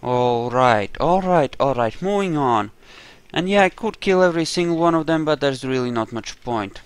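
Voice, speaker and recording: A man's voice: medium pitch, expressive, moderate pace, clear, resonant tone, no echo. A man casually narrating his own video game playthrough, thinking aloud in an offhand, sweary way.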